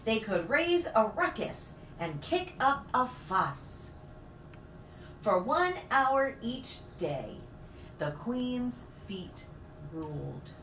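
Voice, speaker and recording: Female storybook reader for preschoolers, very lively intonation, deliberate pauses; roomy, distant recording. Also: a little high. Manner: deliberate phrasing with dramatic pauses. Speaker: pastor reading children's stories. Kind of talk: expressive storybook read-aloud for preschoolers. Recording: roomy, distant mic with faint noise.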